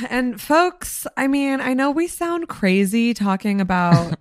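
Hoarse, chatty woman podcast host. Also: on the high side, animated, takes it slowly, dry recording, clean studio sound. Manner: chatty, swears freely, lots of likes and ums. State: a little hoarse. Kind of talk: casual chat.

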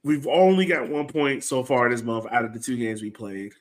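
A man's voice: mid-range voice, expressive, moderate pace. Casual male sports podcast host. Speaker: host of a soccer fan podcast.

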